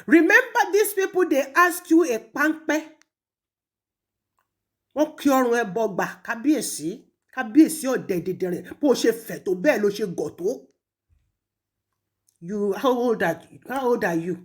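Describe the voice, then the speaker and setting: A Nigerian woman with a fairly high voice speaks in a confrontational monologue aimed at someone absent. Her delivery is emphatic, scolding and openly taunting, as an online commentator calling someone out. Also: lively intonation, moderate pace, pauses often. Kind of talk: confrontational monologue addressed to an absent person, mixing two languages.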